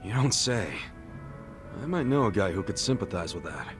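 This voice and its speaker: An actor playing a brash, good-hearted ex-yakuza in his forties for a video game, with a casual, blunt, slangy and expressive delivery. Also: on the low side, normal speed, over music. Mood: wry, sympathetic. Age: 40s. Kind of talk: English video game voice acting.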